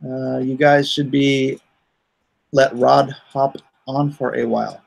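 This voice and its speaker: A man with a creaky, fry-edged voice hosts a casual livestream. He talks at a very unhurried pace, rambling and thinking out loud. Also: medium pitch, fairly even intonation, poor audio. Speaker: comic artist hosting a livestream.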